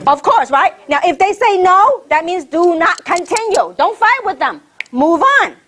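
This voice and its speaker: A woman's voice: very high voice, animated, a little slow, fairly bright tone, a little hiss or hum. A middle-aged woman from Hong Kong giving a motivational training talk, emphatic and repetitive, firing rhetorical questions at the audience. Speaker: network marketing leader.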